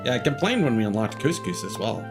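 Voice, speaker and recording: A man's voice: mid-range voice, sing-song, fast. A man giving casual, joking and sweary gameplay commentary as a streamer. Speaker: game streamer. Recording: music behind the voice.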